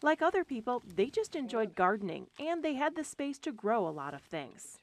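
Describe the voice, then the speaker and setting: A woman reading scripted documentary narration in a lively, expressive way, recorded as a studio voice-over. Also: fairly high pitch, normal speed. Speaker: program narrator. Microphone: studio voice-over mic.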